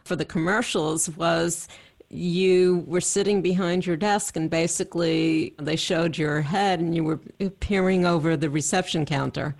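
A woman interview host with a Long Island accent. She asks her questions in a measured, conversational way. Her voice sits on the low side. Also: fairly even intonation, vocal fry, darkish tone.

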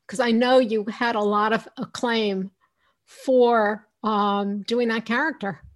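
A woman hosting an interview, asking questions haltingly with many fillers and restarts. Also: on the high side, steady tone. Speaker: interview host.

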